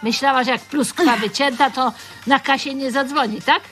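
An actress playing a coarse, foul-mouthed store security guard who hectors with scornful contempt in a radio drama. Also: female voice, high-pitched, moderate pace, a bit bright, faint music behind. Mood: accusing.

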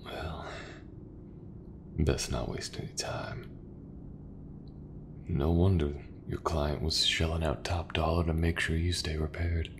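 A man playing an easygoing, folksy sci-fi mechanic in a soft, breathy, reassuring ASMR roleplay voice. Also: a bass voice, flat delivery, noisy background, full character voice. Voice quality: gravelly, breathy, half-whispered. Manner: slow, unhurried, reassuring, colloquial. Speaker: voice actor.

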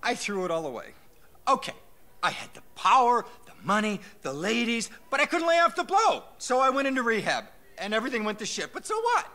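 An actor playing a panicky, self-pitying, foul-mouthed mob lawyer in a game, frantic, stammering and shrill. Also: male voice, very animated, fairly quick, sharp tone, full character voice. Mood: miserable, self-pitying. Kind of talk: video game cutscene acting.

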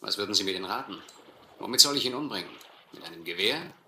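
An actor playing an educated, resigned gentleman castaway in a radio play, articulate, earnest and bitter. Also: on the low side, animated, quick pace, full character voice. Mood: bitter, urgent. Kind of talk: German radio play narration and dialogue. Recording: roomy, faintly noisy radio play recording.

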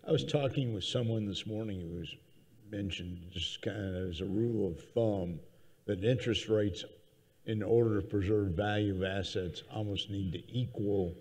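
Male panel moderator, low voice, conversational questions. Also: moderate pace, darkish tone. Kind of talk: conference panel discussion. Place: conference hall stage.

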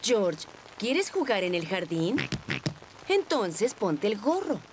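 Caring mummy pig for a kids cartoon, really slow, noisy behind her. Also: medium pitch, very animated. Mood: firm, patient. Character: caring, patient mother pig. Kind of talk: children's cartoon dialogue.

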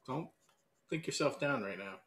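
A man's voice: medium pitch, lively intonation, normal speed, fairly bright tone. A man moderating a casual debate on a video call, playful and teasing as he announces rounds. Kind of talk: hosting a casual debate show.

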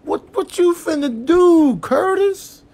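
Animated American man, comic scolding voiceover. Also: very high-pitched, slow. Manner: theatrical, exclamatory scolding. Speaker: comedic voiceover performer. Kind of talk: improvised comedic animal dubbing, slangy.

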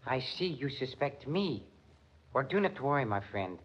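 Smooth-mannered european musician, husky mid voice, foreign accent, old muffled radio drama. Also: male voice, mid-range voice, very animated, exaggerated voice. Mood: suave, defensive. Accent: foreign, Continental European. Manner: formal, measured foreign phrasing. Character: suave European concert musician, smoothly defiant. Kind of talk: 1940s radio acting. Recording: muffled 1940s radio recording.

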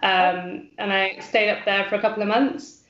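A woman in her twenties from the English Midlands, talking casually as a guest on a video call from a small room at home, relaxed with many pauses and ums. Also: medium pitch. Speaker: guest, fan channel creator. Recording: echoey room, dull lo-fi call audio.

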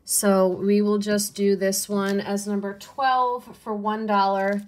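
Woman, young adult, unhurried, slow relaxed talk, soft muttered asides, casual haul video. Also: medium pitch. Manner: unhurried, softly muttering asides, cooing over pieces.

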